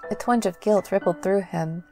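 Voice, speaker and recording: A woman's voice: medium pitch, normal speed, creaky, mellow, soft background music. A woman reading scripted story narration as the narrator of an audio drama.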